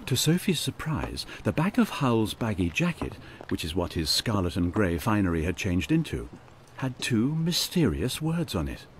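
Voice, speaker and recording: A man narrating a radio drama as a measured, wry storyteller. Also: on the low side, big pitch swings, slight background noise.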